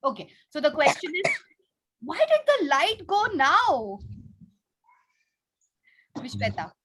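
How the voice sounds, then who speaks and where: A woman's voice: high-pitched, huge pitch swings, takes it slowly, background noise. A woman with an Indian accent leading an online class for children, talking to them in an encouraging, instructive way over a video call. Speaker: teacher hosting an online kids' class. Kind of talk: interactive class talk to children.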